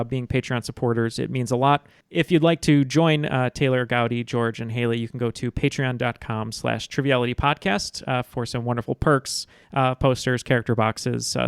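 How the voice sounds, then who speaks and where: A man's voice: medium pitch, smooth, dry recording, clean studio sound. A man hosting a casual trivia podcast, chatty and full of "uh" fillers. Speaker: podcast host. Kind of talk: casual quiz show hosting. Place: home, Chicago.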